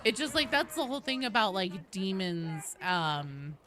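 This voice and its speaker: A woman livestreamer chatting to her viewers in a casual, rambling, animated way, with salty slang. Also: mid-range voice, unhurried. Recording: clearly noisy background.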